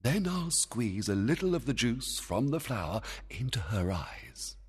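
A man's voice: mid-range voice, sing-song, measured pace, slightly rough, long reverb tail, voice pushed up, full character voice. A man voicing a stern, jealous and scheming fairy king, commanding, in a storybook. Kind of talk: dramatized storybook acting.

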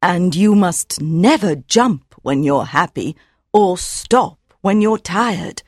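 A man voicing an old, wise mother mare who gently and earnestly advises her colt in a storybook reading. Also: high-pitched, expressive, slow pace, dry recording, clean studio sound, pitched above the performer's own voice, exaggerated voice. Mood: earnest advice. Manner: soft, earnest and admonishing.

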